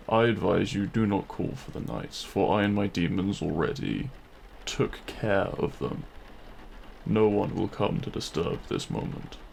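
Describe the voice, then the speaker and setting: Demon king male actor for romantic audio roleplay: deep, very unhurried, smug and possessive, courtly menace, some background noise. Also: creaky, darkish tone. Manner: theatrical, silky, menacing yet courtly. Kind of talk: scripted audio roleplay, addressed to the listener.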